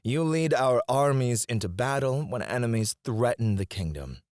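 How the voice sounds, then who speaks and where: A man's voice: mid-range voice, animated, normal speed, a little mellow, dry recording, clean studio sound, close to an everyday voice. A man playing a cocky, disdainful royal alchemist in a scripted fantasy audio roleplay, speaking in a formal, haughty, theatrical way. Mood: cool disdain. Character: cocky, disdainful male royal alchemist, sharp-witted and proud. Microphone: close, dry studio mic.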